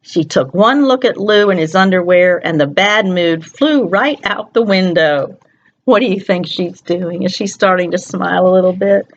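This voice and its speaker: A woman's voice: fairly low pitch, normal speed, muffled recording. A woman storyteller reads a picture book aloud to young children, expressively, often putting questions to her listeners.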